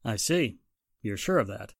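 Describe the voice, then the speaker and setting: An actor playing a skeptical police inspector in a 1940s-style radio drama, deliberate, dry and official in manner. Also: male voice, fairly low pitch, animated, vocal fry, no echo, exaggerated voice.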